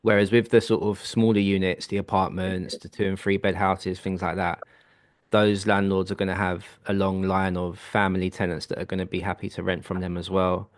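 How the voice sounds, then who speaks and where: A man coaching clients on a video call, explaining steps in a relaxed, reassuring way. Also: sits low, fairly quick, dry recording, studio quality. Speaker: property business coach.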